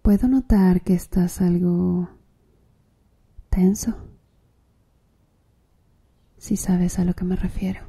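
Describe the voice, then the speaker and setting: A seductive female tattoo artist acted by a woman in an ASMR roleplay, teasing the listener in a breathy murmur. Her voice is very dark and mellow, husky, and unhurried. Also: lowish voice, fairly even intonation, heavily acted. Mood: seductive, intimate. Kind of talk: Spanish-language ASMR roleplay.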